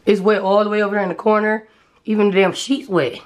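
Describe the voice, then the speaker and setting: A woman vlogging a prank in a small bedroom, talking loudly and playfully to viewers into a phone held at arm's length, her voice going hoarse. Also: medium pitch, fairly even intonation, fast, heavy background noise. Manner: loud, playful, teasing, shouts often. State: losing her voice. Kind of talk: hyped casual vlog talk to viewers. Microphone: phone camera held at arm's length.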